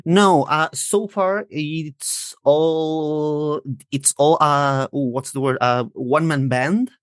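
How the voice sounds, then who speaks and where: A man's voice: on the high side, steady tone, takes it slowly, smooth, dry recording, clean studio sound. A man in his thirties from Chile, a native Spanish speaker, chatting as a podcast guest and often searching for words. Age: 30s. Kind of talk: casual interview chat.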